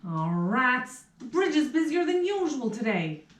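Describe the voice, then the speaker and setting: A woman's voice: sits high, very animated, measured pace, recorded from a distance, voice pushed up. A woman voicing a meek, flustered male barber for a puppet play, hesitant and apologetic, in a roomy rehearsal recording. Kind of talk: puppet theatre acting.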